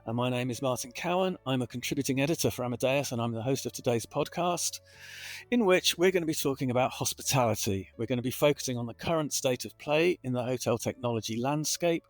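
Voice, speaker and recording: A man hosting a business podcast at a middle pitch, talking in a loose, chatty way and circling back on his own phrases. The sound is dry and close, with no room echo. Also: fast talker, soft background music. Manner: chatty, repeats himself while framing questions. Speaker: podcast host. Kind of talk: corporate podcast interview.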